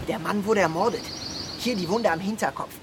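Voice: mid-range voice, fairly quick, over music, lightly acted. A performer playing an eager, slightly bumbling junior detective in a radio crime drama, officious but hesitant. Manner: officious, hesitant when rebuked.

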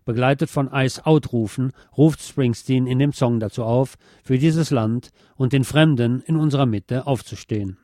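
A man presents a scripted commentary in a soft, mellow voice. The sound is very dry and close, with the relaxed tone carrying the reading. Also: medium pitch, normal speed, few pauses, dry recording. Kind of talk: scripted political and music commentary.

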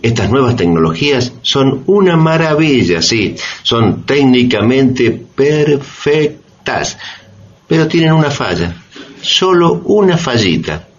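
An actor playing an ironic, impassioned radio presenter, speaking in emphatic rhetorical style with a Latin American Spanish accent. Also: male voice, medium pitch, big pitch swings, slow. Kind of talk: dramatised commentary, radio theatre. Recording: dull radio recording, faint noise.

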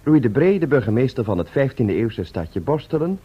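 A man's voice: medium pitch, sing-song, moderate pace, slightly rough. A man announcing radio drama credits in a formal, old-fashioned style. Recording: dull old radio recording.

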